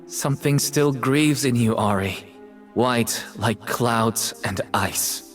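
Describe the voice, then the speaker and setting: A man voicing a troubled, solemn artist in a video game, speaking in a measured, poetic manner with dramatic delivery. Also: medium pitch, a bit bright, faint music behind. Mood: melancholy, gentle. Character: troubled male artist champion, solemn and brooding.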